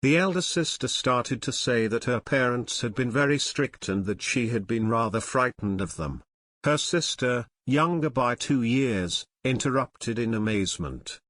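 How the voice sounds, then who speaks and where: A man's voice: medium pitch, lively intonation, measured pace, fairly bright tone, no echo, clean studio sound. A man reading a scripted practice passage aloud as a model reader.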